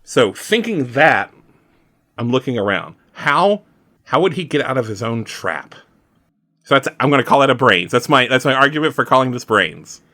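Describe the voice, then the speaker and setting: A man playing a tabletop roleplaying game, chatting casually and thinking aloud in an animated, halting way. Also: mid-range voice, no room sound, studio quality.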